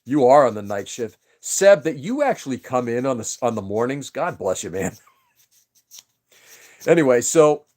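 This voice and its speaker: A man's voice: mid-range voice, very animated, moderate pace, darkish tone. A man whose first language was Greek, chatting casually on a livestream, rambling and greeting viewers by name. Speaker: livestream host.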